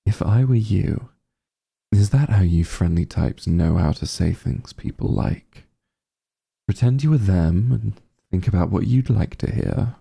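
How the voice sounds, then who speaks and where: An evil sorcerer king, acted by a man for an ASMR roleplay, vain and openly disdainful. His voice is dark and mellow, heavily creaky, and he drawls slowly with pompous, sardonic exasperation. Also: deep voice, full character voice. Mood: grudging, musing. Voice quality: gravelly, breathy.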